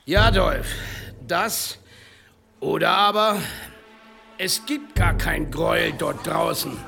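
An actor playing a commanding village leader in a German radio drama, speaking with measured authority. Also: male voice, fairly high pitch, gravelly, over music, exaggerated voice. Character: commanding male village leader, rallying the hunt. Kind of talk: German radio play acting.

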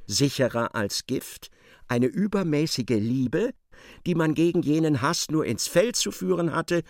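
A man acting as the sardonic narrator of a literary radio play, articulate and expressive. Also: medium pitch, dry recording, studio quality. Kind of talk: dramatised scripted narration.

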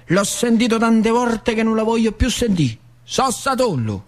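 Un attore che fa un operaio focoso, voce animata. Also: male voice, high voice, very animated, a little slow, voice pushed up. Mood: pained, fed up. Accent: central Italian, northern Lazio. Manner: emphatic, repetitive, colloquial. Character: fiery working-class uncle, factory worker railing against bosses. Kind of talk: theatrical monologue in rustic dialect. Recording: music behind the voice.

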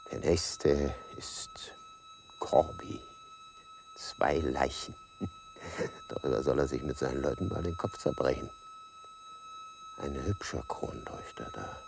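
An actor playing a menacing, contemptuous killer in a radio drama, with a sneering, mock-polite manner. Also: male voice, very high voice, darkish tone, slight background noise, full character voice. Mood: smug. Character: menacing, contemptuous, cold-blooded male killer. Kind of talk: German radio drama monologue.

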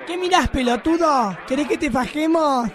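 A man's voice: very high voice, expressive, normal speed. A man playing a cheeky bar regular with an Argentine accent in a comic radio drama. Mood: aggressive. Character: high-voiced bar tough, aggressive then fawning. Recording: live radio play with crowd noise.

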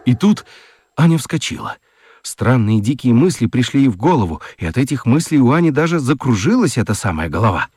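A man narrating a children's radio play as a dramatic storyteller, with expressive, deliberate literary phrasing. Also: mid-range voice, breathy edge, dry recording, studio quality. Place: dry studio.

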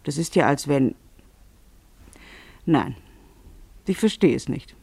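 A man's voice: a little high, lively intonation, slow, vocal fry, warm tone, husky, no echo. A man delivering literary narration in a fussy, bemused, pedantic manner, as if commenting on a tale.

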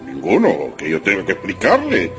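An actor playing a proud, defensive middle-aged general in a radio drama, stiff and haughty. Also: male voice, low-pitched, wildly swooping pitch, moderate pace, a bit bright, heavily acted. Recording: heavy music behind.

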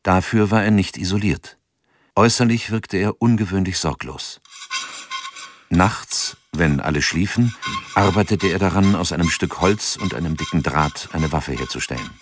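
An actor as a sober chronicler, narrating a documentary radio drama from a script. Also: male voice, deep voice, moderate pace, slightly rough, faint music behind. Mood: sober, factual. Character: sober male chronicler of a crime case.

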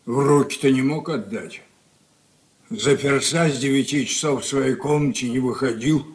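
Gruff old merchant patriarch, played by an actor. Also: male voice, medium pitch, normal speed, lightly acted. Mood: reproachful. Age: older. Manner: gruff, reproachful. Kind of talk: Soviet radio drama acting.

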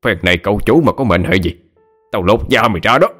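A man voicing a domineering, hot-tempered older husband, gruff and scolding, in an audio horror story. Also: low voice, a little fast, a little mellow, faint music behind. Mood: furious, threatening. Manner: gruff, scolding, barking questions.

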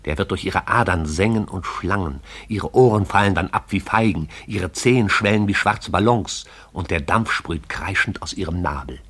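An actor as the narrator of a poetic radio drama, telling it in a lyrical, wry storytelling manner. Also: male voice, low-pitched, normal speed, hardly any pauses, fairly bright tone, slightly rough.

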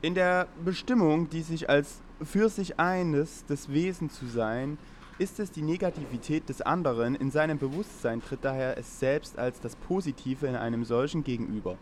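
A man's voice: a little high, moderate pace, slight background noise, natural voice. A man playing a pompous lecturer, reading dense philosophy aloud in a formal, long-winded way. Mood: solemn. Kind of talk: solemn read-aloud lecture in amateur audio play.

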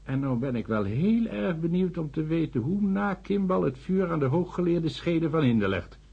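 An actor playing a wry, easygoing, quietly scheming scientist in a radio play, speaking in a casual, chatty and dry manner. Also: male voice, a little high, expressive, normal speed, vocal fry, husky, highs cut off, exaggerated voice. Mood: wry, conspiratorial. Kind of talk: conversational radio play acting.

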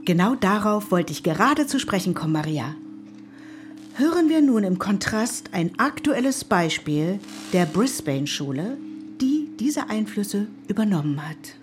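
An actress playing a lecturer who gives a composed talk to an audience, with music behind. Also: female voice, mid-range voice, sing-song, a little slow. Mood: calm. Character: female lecturer addressing a listening audience.

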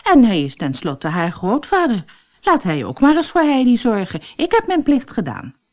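A woman voicing a brisk, impatient young aunt who speaks in a firm, matter-of-fact way. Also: a little high, wildly swooping pitch, pitched above the performer's own voice.

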